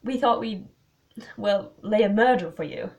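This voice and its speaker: A woman's voice: mid-range voice, measured pace, creaky, a little mellow, pitched above the performer's own voice. A woman voicing an awkward, apologetic English schoolboy prankster with a hesitant manner, in a story reading. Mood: sheepish. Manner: faltering, awkward.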